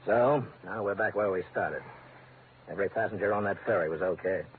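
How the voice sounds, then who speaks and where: A tough, heavy-set American government agent played by a male actor in an old radio crime drama. He talks in a blunt, matter-of-fact, businesslike manner with a fairly low voice. The sound is narrow, with faint noise. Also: a little fast, natural voice. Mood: brisk explaining. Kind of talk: 1940s-50s radio crime drama acting.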